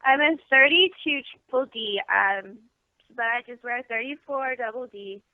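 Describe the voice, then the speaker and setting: A woman's voice: a little high, unhurried, no room sound. A young American woman giving candid, informal answers as an interview guest. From Baltimore area, eastern United States. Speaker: interview guest, adult film performer. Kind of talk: casual interview chat. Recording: tinny narrowband phone line, dry.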